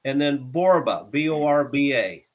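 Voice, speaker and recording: A man's voice: medium pitch, animated, measured pace. A man in a casual chat, patiently explaining phrases and spelling words out letter by letter.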